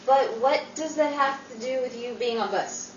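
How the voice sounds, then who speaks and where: A woman playing an excitable, exasperated and dryly sarcastic best friend in a 1940s-style radio comedy. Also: high voice, moderate pace, far from the mic, lo-fi sound, lightly acted. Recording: noisy, echoey room, off the mic.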